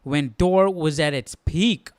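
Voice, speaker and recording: A man's voice: a little high, slow pace, a little hiss or hum. A man hosting a live study stream, giving casual, slangy, jokey commentary as he muses aloud.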